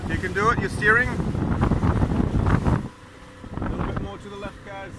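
A man's voice: sits high, steady tone, very fast. A man in his thirties, a father filming his young son on a boat and coaxing him along in a playful, encouraging way. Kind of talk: casual family vlog. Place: outdoors on a small motorboat on open water. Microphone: phone camera held close. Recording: windy outdoor phone recording. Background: wind noise and boat engine.

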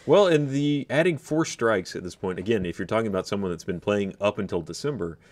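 Lowish-voiced man in casual podcast chat. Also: animated, brisk, darkish tone. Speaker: podcast co-host. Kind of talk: casual, opinionated gaming chat. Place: podcast studio. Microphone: desk studio microphone.

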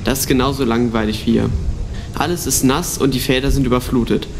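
A man's voice: medium pitch, steady tone, fairly quick, soft background music, lightly acted. A man playing a lenient, restless wall guard in a school radio play. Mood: weary.

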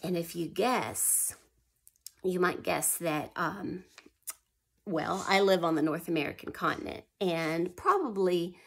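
A woman's voice: fairly low pitch, slow pace, vocal fry, a bit bright, husky. Woman reading history to young kids. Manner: asks children questions and answers them herself; drops to a whisper for suspenseful parts. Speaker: homeschool history teacher. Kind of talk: reading a history book aloud to young children.

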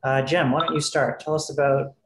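A man moderating an online panel from home, relaxed and full of fillers. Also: mid-range voice, brisk. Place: home room, video call. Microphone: video call. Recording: roomy video call audio with faint street noise. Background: faint street noise.

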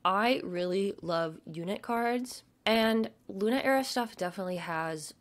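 A young woman with a stutter, chatting casually at her desk about shopping, with lots of fillers and soft murmured asides. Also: medium pitch, measured pace. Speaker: vlogger. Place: home desk.

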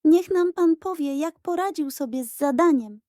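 Woman acting a strict, pedantic schoolmistress, higher-pitched. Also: moderate pace, warm tone, no room sound, studio quality, natural voice. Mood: demanding. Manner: pedantic, correcting. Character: dignified, strict female Polish teacher, exacting about rules.

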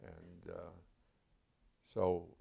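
An older man from Milwaukee, a retired chemistry professor, recalling his life in an oral history interview, speaking slowly with many pauses and fillers. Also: low-pitched, completely flat delivery, vocal fry, dark tone, slightly rough, lo-fi sound. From Milwaukee, Wisconsin.

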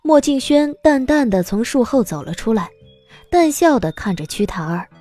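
A woman's voice: a little high, big pitch swings, moderate pace, mellow, faint music behind. A woman narrating a scripted audiobook story as the narrator of a drama.

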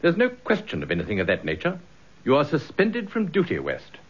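An actor playing a stern, haughty senior British police commissioner in a radio drama, pompous, cold and imperious. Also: male voice, a little high, wildly swooping pitch, a little fast, husky, heavily acted. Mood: stern, affronted. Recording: slightly dull radio drama recording.

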